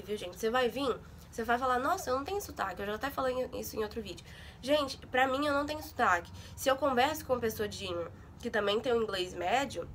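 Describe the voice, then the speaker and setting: A woman's voice: on the high side, sharp tone, slight background noise. A young woman from Brazil in a casual vlog, chatting to her viewers and often adding little check-in words. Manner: chatty, fills with "sabe?" and "gente". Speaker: vlogger. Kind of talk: casual chat to camera.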